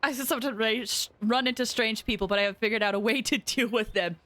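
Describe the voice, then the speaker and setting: A woman live streaming a video game, reacting casually in an excitable, slangy, gushing way. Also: a little high, fast.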